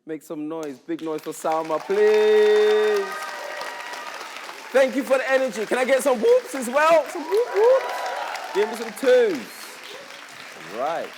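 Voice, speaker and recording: A man's voice: high voice, slow, long pauses. A man from Nigeria hosting a live poetry event, joking and calling on the audience to cheer for each poet. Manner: energetic, joking, calls for cheers. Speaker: event host. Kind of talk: hyping up a live crowd. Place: indoor conference hall stage. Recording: live event with loud crowd noise.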